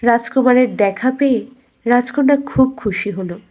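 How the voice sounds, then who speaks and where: Woman, storyteller, slightly high voice, very slow pace, measured scripted fairy-tale narration. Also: dark tone.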